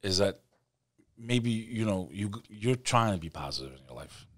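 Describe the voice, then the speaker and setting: Low-voiced male host, buddy-style and animated, dry close mic. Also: normal speed, dry recording. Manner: chummy, fired-up, calls the guest "brother" and "man". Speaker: podcast host. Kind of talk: casual interview chat.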